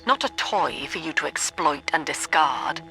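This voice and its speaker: An actress playing a cold, lofty middle-aged British cult leader and psychiatrist in a video game, with measured, formal, clinical preaching. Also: female voice, deep voice, lively intonation, music in the background. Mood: cold, resolute. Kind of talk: scripted video game villain monologue.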